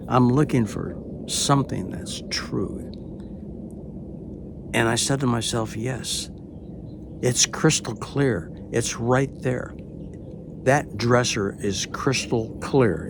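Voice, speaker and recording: A man in his late seventies telling a personal story in a hesitant way, with many pauses, and often dropping to a near-whisper. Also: on the low side, vocal fry, a little hiss or hum. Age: late 70s. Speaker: storyteller recounting a personal experience. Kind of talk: unhurried spoken personal narration.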